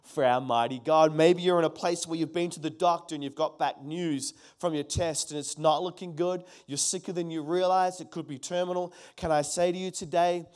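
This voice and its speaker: Man, middle-aged pastor, emphatic sermon, repeats key lines, slightly high voice. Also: a little mellow. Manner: emphatic, repeats key phrases, calls on the congregation.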